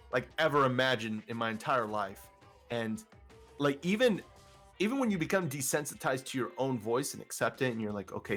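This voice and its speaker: A man with a deeper voice, guesting on an interview and talking in a casual, rambling way with many restarts. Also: fairly bright tone. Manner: rambling, filler-heavy, frequent restarts. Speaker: vtuber guest.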